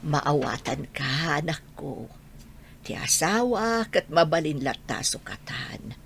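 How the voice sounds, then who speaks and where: A woman's voice: deep voice, very animated, slow, gravelly, a bit bright, a little hiss or hum, full character voice. A woman playing a tender, plaintive old grandmother who longs for her son in an audio drama. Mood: sorrowful, insistent. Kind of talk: Ilocano audio drama acting.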